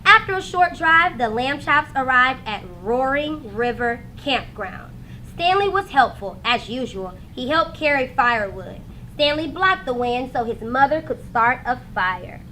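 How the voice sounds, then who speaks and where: A woman reads a children's story aloud in a high, bright voice. Her delivery swings widely and expressively, leaning into dramatic moments for young listeners. Faint noise sits behind her. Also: expressive, a little slow, poor audio. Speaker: teacher reading aloud to students. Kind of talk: animated children's storybook narration.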